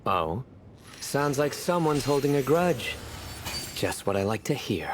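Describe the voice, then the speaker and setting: A man's voice: mid-range voice, sing-song, measured pace, a little hiss or hum. An actor playing a cocky, bantering young male adventurer in a video game, teasing and theatrical. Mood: teasing, flustered. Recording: faint game music behind voice.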